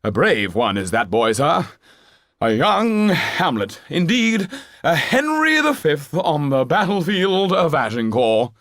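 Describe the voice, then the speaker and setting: A flamboyant, shabby-genteel stage actor, courtly and effusive. He declaims in drawn-out phrases, lifting well above a plain speaking pitch and swooping widely, in a close, dry recording. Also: sing-song, a bit bright, dry recording, exaggerated voice. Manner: grandiloquent, declamatory, drawn-out delivery.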